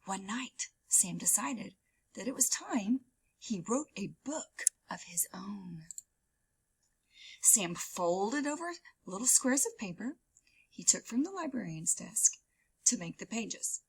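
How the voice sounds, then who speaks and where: A woman reading a storybook to children as a teacher, expressively, with dramatic, drawn-out emphasis. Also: medium pitch, expressive, creaky, husky. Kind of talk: animated children's storybook read-aloud.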